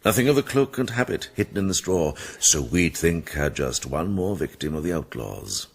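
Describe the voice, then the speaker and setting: An actor playing a shrewd older monk in a radio drama, with a Welsh accent and a deep, husky voice. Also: male voice, big pitch swings, normal speed, heavily acted. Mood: grim, accusing. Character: wise, shrewd older monk, kindly but relentless sleuth.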